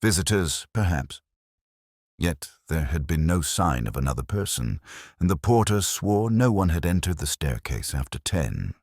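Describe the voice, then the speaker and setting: A man reading first-person mystery narration in a measured, brooding, literary manner. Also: low voice, expressive, a little mellow, no room sound, studio quality.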